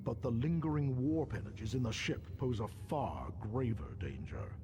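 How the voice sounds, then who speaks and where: A man's voice: medium pitch, big pitch swings, normal speed, background noise, lightly acted. A man playing an ominous, zealous space marine mystic in a sci-fi game, speaking in a formal, portentous, theatrical manner. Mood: ominous warning. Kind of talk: dramatic sci-fi game acting.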